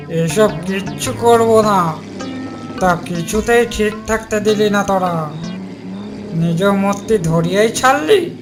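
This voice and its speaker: A man's voice: high voice, voice pushed up. A man voicing an irritable, bossy old man who is secretly a ghost, scolding and complaining in an audio horror story.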